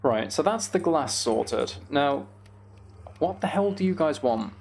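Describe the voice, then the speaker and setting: A man's voice: on the high side, lively intonation, unhurried, vocal fry, husky. A man giving casual, rambling gaming commentary to a live chat, with playfully exasperated banter. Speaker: game streamer hosting a live chat stream.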